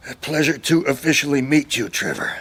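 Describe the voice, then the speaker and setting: An actor playing a wounded, eccentric older man in an audio drama, dying yet calm and whimsical, rambling wryly and haltingly. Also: medium pitch, breathy edge. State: weak from a gunshot wound. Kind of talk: naturalistic audio drama acting.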